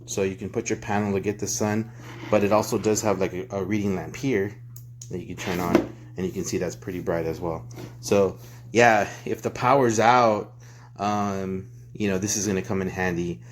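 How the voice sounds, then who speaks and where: A man's voice: low voice, steady tone, moderate pace. A man giving an informal, loose hands-on product review, recorded on a handheld phone. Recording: clear background noise, handling clicks.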